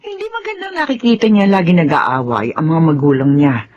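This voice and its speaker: A woman's voice: deep voice, lively intonation, brisk, darkish tone, lo-fi sound. An actress playing a patient, peacemaking grandmother in a Filipino radio drama, soothing and motherly, with a Filipino accent. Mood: pleading, patient.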